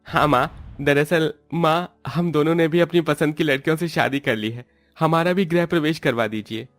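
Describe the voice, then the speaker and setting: A man's voice: a little high, normal speed, no echo, soft background music, pitched above the performer's own voice, lightly acted. A man voicing a respectful but defiant young adult son in a moral-story cartoon, earnest and pleading. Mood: eager, respectful.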